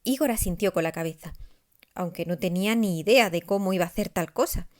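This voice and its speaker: A woman narrating a scripted children's story expressively, with deliberate emphasis. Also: fairly low pitch, a little mellow. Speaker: author narrating her own story.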